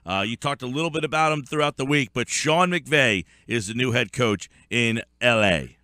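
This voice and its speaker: A man around forty hosts sports radio talk at a desk mic. His voice is bright and forward, recorded dry and close. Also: medium pitch, normal speed, no echo. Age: 40s. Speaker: radio sports show host.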